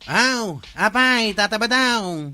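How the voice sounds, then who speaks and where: A man with an Ilocano accent playing a forceful village buddy in a radio comedy drama. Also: high voice, expressive, slow pace, smooth, heavily acted. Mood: emphatic.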